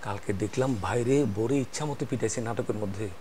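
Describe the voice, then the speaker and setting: A Bangladeshi man telling a casual personal anecdote as an actor guest, speaking haltingly with frequent pauses. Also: lowish voice, mellow, a little hiss or hum.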